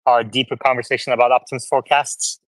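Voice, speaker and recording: A man's voice: mid-range voice, flat delivery, fast talker, fairly bright tone, dry recording, poor audio. Man hosting a live call-in space. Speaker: host of a live audio space. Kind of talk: casual chat, moderating callers.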